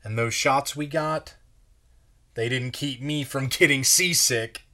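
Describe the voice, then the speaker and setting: A man, a pastor, reading a children's story aloud in a measured, expressive way. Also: mid-range voice.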